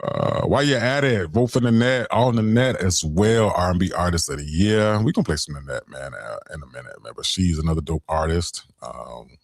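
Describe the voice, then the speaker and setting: A young adult man, hosting a late-night radio show in a laid-back, conversational way and giving shout-outs. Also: a little fast, gravelly, hardly any pauses, no room sound, clean studio sound. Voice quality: deep voice.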